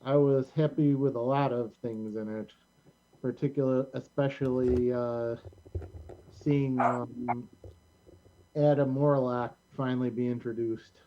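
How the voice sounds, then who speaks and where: A middle-aged American man hosting a casual show in a rambling, halting way. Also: medium pitch, steady tone, lo-fi sound, slight background noise. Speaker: host of a community show.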